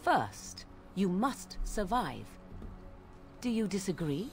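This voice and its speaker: An actress playing an aloof, sardonic young witch in a video game, speaking in formal, archaic, measured phrases. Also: mid-range voice, big pitch swings, faint music behind. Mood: grave, stern. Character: aloof, sardonic young witch of the wilds, raised an outcast apostate. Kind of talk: dramatic video game voice acting.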